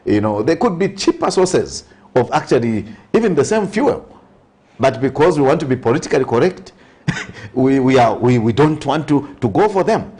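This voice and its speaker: A man's voice: medium pitch, big pitch swings, normal speed, warm tone, slightly rough. A man with a Zambian accent, a priest speaking as an interview guest, giving earnest social commentary with emphatic repetition and touches of preaching.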